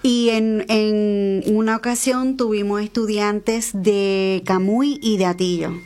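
A woman's voice: mid-range voice, steady tone, slow pace, darkish tone. A woman with a Puerto Rican Spanish accent, raised in the United States, being interviewed about an arts school and speaking earnestly, often repeating her words. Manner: earnest, repeats words while searching for them. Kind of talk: interview conversation.

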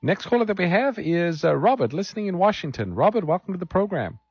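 A man co-hosting a Bible call-in radio show, introducing callers and announcing offers in a steady way. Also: sits high, fast talker, husky, no room sound, dull audio. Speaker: radio show co-host pastor.

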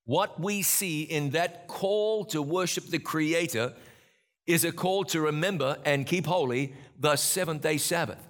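Man, bible teacher, earnest preaching, slightly higher voice. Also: measured pace. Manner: earnest preaching with emphatic repetition. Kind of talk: sermon-style Bible teaching.

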